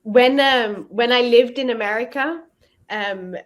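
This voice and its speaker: A woman's voice: fairly high pitch, measured pace. Chatty woman with a British accent. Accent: British English. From Israel. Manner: chatty, rambling with many likes and ums. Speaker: online English tutor. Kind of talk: casual video-call lesson chat. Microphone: video call.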